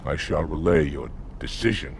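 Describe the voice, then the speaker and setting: An actor playing a stern alien warrior commander in a video game, with a gravelly growl and a formal, forceful manner. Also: male voice, a bass voice, normal speed, slight background noise. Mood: restrained deference. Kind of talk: video game cutscene acting.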